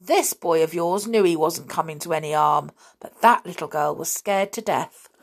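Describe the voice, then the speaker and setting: A big storybook policeman, acted out by a woman narrator. He speaks up loudly and sternly, with righteous, accusing authority. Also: low-pitched, normal speed, creaky.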